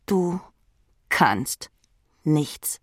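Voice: mid-range voice, lively intonation, drawn-out words, noisy background. A narrator reading literary fiction in the second person, in a dramatic manner. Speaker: audiobook narrator.

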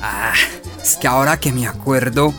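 An actor playing a menacing, mock-friendly gang extortionist in a radio drama, with slangy Colombian speech from Medellín. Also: male voice, mid-range voice, moderate pace, fairly bright tone, soft background music, close to an everyday voice. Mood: sly threatening. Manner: mock-friendly, insinuating threats.

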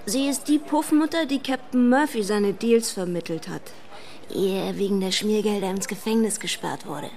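Radio crime play: actress as a brash, mocking street woman, average pitch, flippant delivery. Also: moderate pace, a little mellow, natural voice. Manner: flippant, slangy. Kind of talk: radio crime drama acting.

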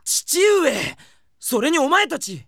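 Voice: sits high, sing-song, slow pace, creaky, a loud crowd, full character voice. An actor playing an earnest, flustered, lovesick teenage boy who scolds themself in an anime audio drama. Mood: shocked.

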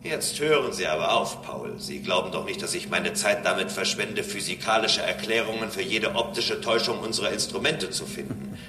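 An actor playing a condescending, devout robot with a formal, measured manner in a German radio drama. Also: male voice, lowish voice, recorded from a distance, close to an everyday voice. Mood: exasperated superiority. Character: robot convinced it serves a higher Lord, condescending and calm. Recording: roomy echo, clear background hiss.

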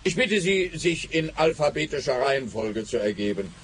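An actor playing a pompous, officious, easily exasperated police officer in a comic radio play, speaking in stilted bureaucratic phrases. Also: male voice, fairly high pitch, big pitch swings, normal speed, slight background noise. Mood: bossy, indignant.

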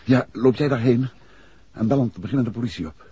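An actor playing a decisive, commanding gentleman detective in a 1960s radio drama. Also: mid-range voice, quick pace, breathy edge. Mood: decisive, grave. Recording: dull vintage radio drama recording.

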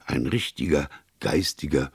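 A man voicing a gentle, shrewd priest detective who explains things in a measured way in an audiobook. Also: rumbling low, gravelly, breathy edge, no echo, clean studio sound, full character voice. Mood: calm, knowing.

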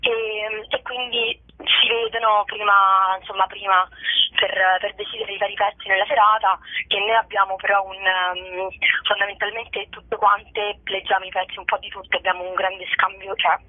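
A woman answers interview questions casually, feeling her way through each thought with a hesitant, wandering delivery. Her mid-pitched voice comes through dry and very narrow, like a phone call. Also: little pitch movement, normal speed, no echo. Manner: hesitant, meandering, thinking aloud. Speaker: actress and stand-up performer being interviewed.

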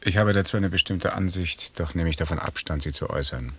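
Deep-voiced, guarded lieutenant. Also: male voice, brisk, a bit bright, husky, heavily acted. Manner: stiff, formal. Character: guarded, dutifully loyal male lieutenant. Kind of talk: German radio drama acting.

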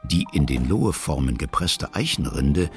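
A man narrating a historical radio play for children as a measured, explanatory storyteller. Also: lively intonation, soft background music. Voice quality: very deep, gravelly.

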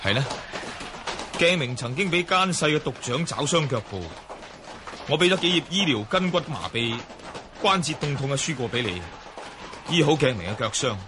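A man's voice: mid-range voice, expressive, normal speed, pauses often, background noise, close to an everyday voice. An actor playing a courteous, heroic young nobleman in a Cantonese radio drama, speaking formally and earnestly.

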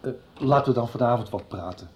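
A man's voice: mid-range voice, fast, darkish tone, slight background noise, natural voice. A man acting a busy, evasive middle-aged father in a Dutch radio soap. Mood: evasive, irritated.

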